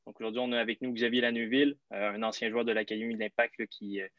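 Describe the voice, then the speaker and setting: A man's voice: medium pitch, fairly bright tone, no echo. A man with a Québécois accent hosting a sports podcast, welcoming listeners and a guest with frequent fillers. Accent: Québécois French. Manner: friendly, lots of fillers. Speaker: podcast host. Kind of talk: casual podcast interview. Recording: slightly dull lo-fi sound.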